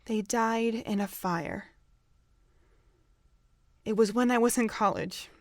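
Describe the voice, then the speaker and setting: A woman's voice: fairly high pitch, slow, dry recording, studio quality. A young woman acting a guarded, easily frustrated young woman in a fan audio drama. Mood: subdued.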